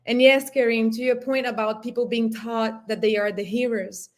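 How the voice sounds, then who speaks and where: A woman's voice: fairly high pitch, fairly even intonation, moderate pace. A woman in her thirties from Brazil, with a Brazilian Portuguese accent, whose first language is Portuguese. She speaks earnestly and emotionally on a panel over a video call, searching for words. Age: 30s. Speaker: panel guest. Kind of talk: impassioned panel discussion.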